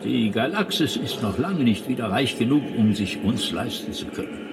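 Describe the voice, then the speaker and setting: An actor playing an eccentric, proud older alien planet builder in a radio drama, rambling and hesitant, often dropping to a near-whisper. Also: male voice, mid-range voice, expressive, normal speed, background noise, natural voice. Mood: excited, confiding.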